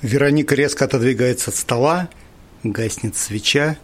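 A man's voice: mid-range voice, moderate pace. A man narrating an audio play, reading stage directions as scripted narration.

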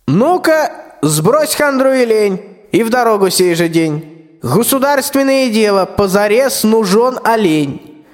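A man's voice: high voice, sing-song, measured pace. A man playing a bossy, threatening, suspicious tsar in a rhymed fairy-tale radio play. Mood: imperious, threatening. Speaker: student radio-play actor. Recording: reverberant hall, music underneath.

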